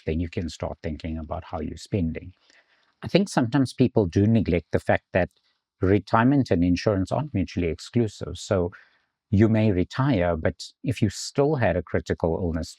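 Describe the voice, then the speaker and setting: Deep husky guy from south africa explaining insurance, measured, dry mic. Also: mellow, no echo. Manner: measured, explanatory, some restarts. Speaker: insurance executive guest. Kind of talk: explaining in an interview.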